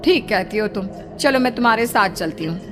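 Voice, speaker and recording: A woman acting an anxious, polite village wife, concerned and measured, in a horror story. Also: medium pitch, expressive, a bit bright, soft background music, lightly acted.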